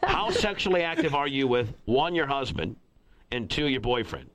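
A man's voice: medium pitch, huge pitch swings, normal speed, gravelly, fairly bright tone, dry recording. A man hosting a call-in show, putting frank questions to a caller.

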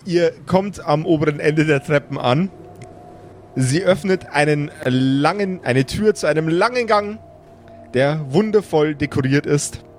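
A man narrating an improvised tabletop game as game master, deliberate and halting as he builds the scene. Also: a little high, faint music behind.

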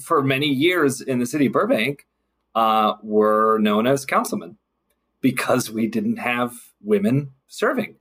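A man speaking as a podcast guest in a thoughtful discussion, reflective and a little halting, pausing for emphasis. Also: medium pitch, animated, fairly bright tone, slightly rough. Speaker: podcast guest, city council member.

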